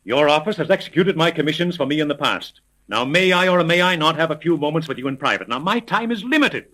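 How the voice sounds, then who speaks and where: Rich, impatient radio-drama gentleman, animated intonation, bright forceful tone, formal, imperious, 1930s acting. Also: fairly high pitch, fast. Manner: formal, indignant, emphatic. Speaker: actor. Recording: vintage 1930s radio recording.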